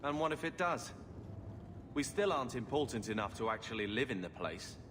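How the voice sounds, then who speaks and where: A man's voice: mid-range voice, sing-song, a little fast, background noise, close to an everyday voice. An actor playing a blunt, resentful young man who grumbles in a video game cutscene. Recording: long hall reverb with music behind.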